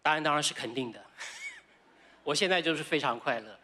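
A man's voice: a little high, normal speed, heavy background noise. A man in his fifties with a Taiwanese accent, giving an award acceptance speech into a stand microphone on a large stage. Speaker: award winner.

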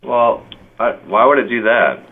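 A man's voice: medium pitch, measured pace, breathy edge. A man chatting casually on the phone, with a laid-back, wry way of talking. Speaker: resident receiving a phone call. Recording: tinny phone line with noise.

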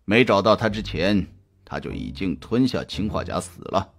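A man's voice: low voice, very animated, moderate pace, vocal fry, faint music behind, voice pushed down, full character voice. A man voicing a skeptical male police team leader in an audiobook, with a curt, dry delivery. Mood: matter-of-fact.

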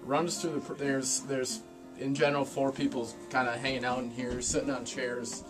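Male American host, medium-pitched, dry and informal interviewer, guitar music under the voice. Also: little pitch movement, moderate pace, low-quality recording. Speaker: fishing show host interviewing. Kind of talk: casual interview chat. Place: small wooden ice fishing shack. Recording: music playing behind the voice.

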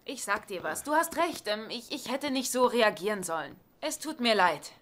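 A woman's voice: fairly high pitch, big pitch swings, normal speed, fairly bright tone. A woman acting in a German sitcom dub as a wry, skeptical wife. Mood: wry apology.

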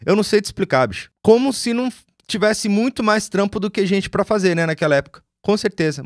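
A man in his forties with a Brazilian accent, a music producer telling stories casually to his live viewers, slangy and animated, on a studio mic in a small home studio. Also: high-pitched, fast, no room sound. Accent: Brazilian Portuguese, lost Goiás accent. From Goiás, Brazil. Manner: animated storyteller, slangy, swears. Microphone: studio mic on boom.

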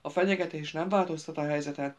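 A woman's voice: sits low, steady tone, close to an everyday voice. A woman voicing a complaining, agitated young man who whines and accuses the others, in an audiobook. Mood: indignant whining. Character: whiny indignant young man, a rabble-rouser. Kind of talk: audiobook character voice.

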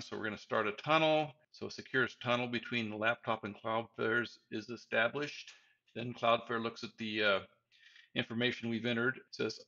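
A man's voice: lowish voice, sharp tone, muffled recording. A man in his sixties giving a casual, folksy tech tutorial with wry asides, recorded in a small room at home. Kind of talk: casual explanatory presentation over slides.

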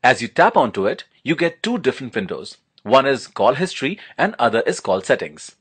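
A man's voice: mid-range voice, sing-song, fairly quick, a bit bright, husky. A man narrating a product unboxing and demo as a reviewer, in an enthusiastic, promotional manner.

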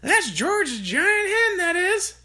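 A reader voicing a boastful, cackling old grandmother who shrieks her lines in a very high, distant-sounding voice. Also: big pitch swings, slow, heavily acted. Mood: shrill triumphant. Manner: shrieking, shouting from far off.

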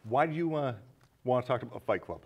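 A man's voice: medium pitch, lively intonation, brisk, husky, dull audio. A man in his thirties with an American accent, hosting a casual film discussion in a studio. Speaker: talk show host. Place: small indoor studio set with curtains.

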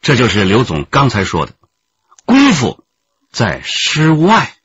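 An actor playing an earnest, respectful police detective who questions politely in a radio drama. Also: male voice, medium pitch, wildly swooping pitch, a little slow, fairly bright tone, husky, no echo, heavily acted. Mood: earnest, inquiring. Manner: polite, inquiring. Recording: muffled, dull radio drama recording.

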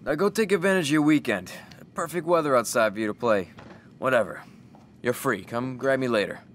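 A man playing a weary, sardonic single father, gruff but caring, who swears when defensive. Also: mid-range voice, lively intonation, fairly quick, slight background noise, natural voice.

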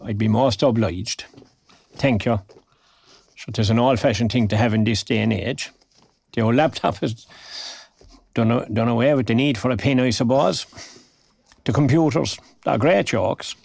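A friendly older Irish man, retired and fond of a chat, in a naturalistic audio drama. His voice is husky and full of creak, and he talks in folksy, old-fashioned phrases. The recording is close and dry. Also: mid-range voice, moderate pace, pauses often, darkish tone, no room sound. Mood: chatty, curious.

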